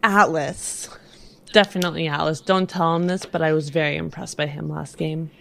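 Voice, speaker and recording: A woman's voice: sits low, steady tone, moderate pace, creaky, fairly bright tone, slight background noise. A woman chatting in casual, playful banter as a player and co-host of a tabletop game show.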